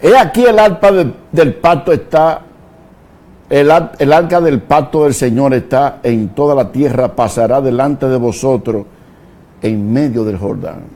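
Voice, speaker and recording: Slow, impassioned preacher man. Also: mid-range voice. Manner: slow, preaching with sudden loud shouted peaks. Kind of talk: fiery sermon.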